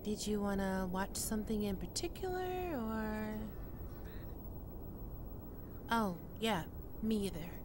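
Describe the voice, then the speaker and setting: A woman's voice: mid-range voice, sing-song, normal speed, background noise, natural voice. A woman playing a skittish, defensive young catgirl roommate in an ASMR roleplay, stammering and prickly to hide her fear. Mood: flustered, defensive.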